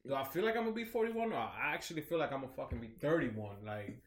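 A man's voice: mid-range voice, animated, fast talker. A man in his forties co-hosting a podcast, talking in a laid-back, reflective way and swearing casually. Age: 40s. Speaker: podcast co-host. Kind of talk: casual chat. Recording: echoey room.

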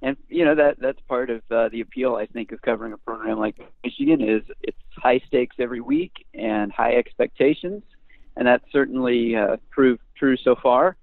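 A male sports writer answering interview questions conversationally, with hesitant, measured phrasing. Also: medium pitch, creaky, no echo. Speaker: sports beat writer, podcast guest. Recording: tinny, dry phone line.

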